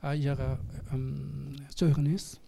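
Slow, halting male pastor, mellow voice. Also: medium pitch, flat delivery, gravelly, slight background noise. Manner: halting, searching for words in broken sentences. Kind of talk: church service address and prayer.

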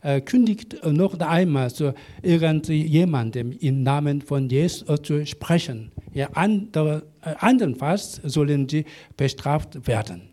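A man preaching in German, a language he learned as an adult, halting often with fillers and restarts while an interpreter follows. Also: fairly high pitch, expressive, dark tone. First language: not German. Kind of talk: sermon with live interpreter.

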